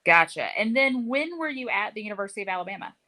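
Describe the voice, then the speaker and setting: Brief: woman podcast host, bright, animated pitch, chatty reactions. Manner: animated, quick short reactions. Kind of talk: casual interview conversation.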